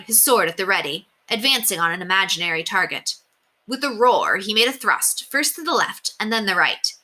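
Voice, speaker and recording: A woman reads a children's story aloud like a teacher. Her voice is fairly low for a woman, with a bright tone and animated, expressive delivery.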